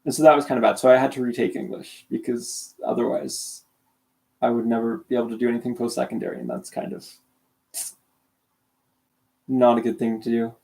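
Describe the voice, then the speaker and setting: A man's voice: mid-range voice, fairly even intonation, brisk, creaky, pauses often, darkish tone. A young man from Canada in his late teens, with a sore throat and half asleep, rambling through casual livestream chat and correcting himself as he goes. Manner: rambling, self-deprecating, many restarts. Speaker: streamer.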